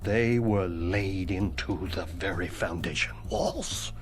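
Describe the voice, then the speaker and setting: A dry, macabre ghost headmaster. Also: male voice, deep voice, slow pace. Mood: wry. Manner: dry, formal, morbidly deadpan, drops into whispers. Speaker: dead former headmaster. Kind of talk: video game cutscene dialogue. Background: music.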